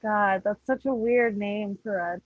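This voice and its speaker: A woman's voice: mid-range voice, measured pace, dry recording, phone-quality sound. A woman talking casually and informally as part of a group conversation. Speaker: podcast cast member. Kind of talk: casual chat.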